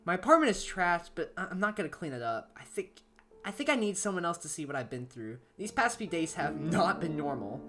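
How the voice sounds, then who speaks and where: A woman's voice: on the low side, very animated, fairly quick, fairly bright tone, soft background music. A woman voicing a paranoid, lonely man writing a journal in a horror story, stammering and anxious in a confessional way. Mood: embarrassed, weary. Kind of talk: first-person horror story reading.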